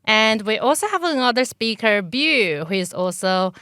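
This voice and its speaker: A woman hosting a podcast, reading a formal introduction and asking guests measured questions. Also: fairly high pitch, animated, bright, forceful tone, clean tone, dry recording, clean studio sound. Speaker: podcast host. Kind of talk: podcast interview, scripted intro.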